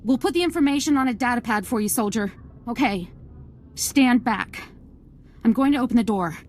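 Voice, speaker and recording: A woman's voice: a little high, expressive, normal speed, darkish tone, slight background noise, close to an everyday voice. A woman playing a frightened civilian who pleads earnestly for her group in a video game cutscene. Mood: relieved.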